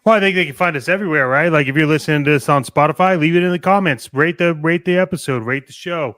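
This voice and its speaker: A man's voice: on the high side, quick pace, fairly bright tone, dry recording, studio quality. A man co-hosting a podcast, chatting and promoting it in an informal, easygoing way. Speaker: podcast co-host. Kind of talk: casual promotional podcast chat.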